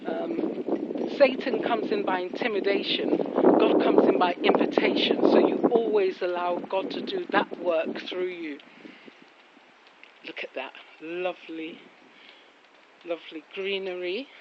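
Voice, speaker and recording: A woman's voice: on the low side, a little slow. A woman in a casual walking vlog with devotional reflections, rambling and full of admiring exclamations. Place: outdoors on a residential street after rain. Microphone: handheld phone while walking. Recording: muffled, noisy outdoor street recording with traffic.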